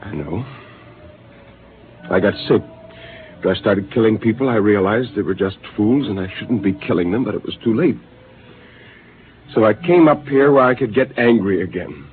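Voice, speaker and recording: An actor playing a bitter, disillusioned astronaut who has turned rebel, speaking in an intense, brooding and defiant way in a 1950s radio drama. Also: male voice, on the low side, normal speed, pauses often, close to an everyday voice. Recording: hissy, lo-fi 1950s radio broadcast.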